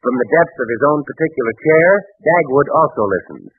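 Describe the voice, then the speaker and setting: A man's voice: medium pitch, expressive, a little fast, husky. A man working as a radio announcer, reading scripted narration in 1930s style. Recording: muffled, narrow-band 1930s radio recording.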